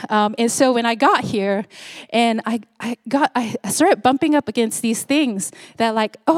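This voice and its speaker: A woman's voice: on the high side, no echo. A woman from Singapore, around her forties, preaching a sermon in a conversational way with frequent fillers and restarts. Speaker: pastor.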